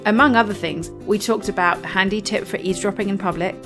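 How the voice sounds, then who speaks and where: A woman's voice: mid-range voice, a little fast, music in the background. A woman hosting a book podcast, reading a scripted intro and then interviewing her guest with enthusiasm. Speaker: podcast host.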